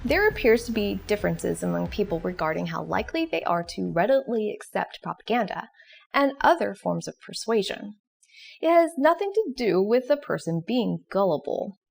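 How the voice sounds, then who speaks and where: Animated, creaky-voiced woman presenter. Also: medium pitch, moderate pace, faint music behind. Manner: expressive, questioning. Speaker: presenter of educational psychology videos. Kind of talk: scripted explanatory narration with casual asides.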